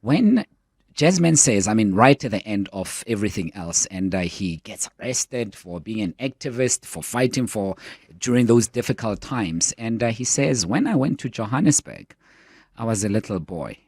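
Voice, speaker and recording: A man's voice: lowish voice, very animated, moderate pace, a little mellow, slightly rough, dry recording. A man hosting a radio interview in a studio, an engaged listener who keeps affirming his guest. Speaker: radio host.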